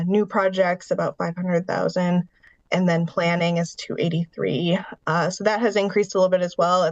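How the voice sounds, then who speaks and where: A woman's voice: lowish voice, dry recording. Congested woman presenting over a dull call. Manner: steady, explanatory walk-through of figures and programs. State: congested, under the weather. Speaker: staff presenter at a county board meeting. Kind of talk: presenting a funding update in a public meeting.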